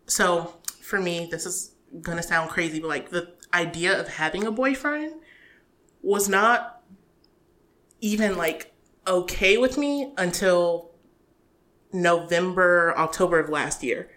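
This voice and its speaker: A woman in her twenties, a podcast guest, chatting in a relaxed, giggly way with many fillers. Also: lowish voice, slow. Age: 20s. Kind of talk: casual chat.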